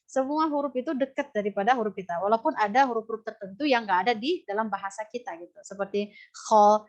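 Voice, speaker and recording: A woman with an Indonesian accent teaching Quran recitation in a live lesson, explaining and demonstrating how sounds are pronounced. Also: fairly high pitch. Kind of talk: live lesson answering student questions. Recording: dull, lo-fi online audio.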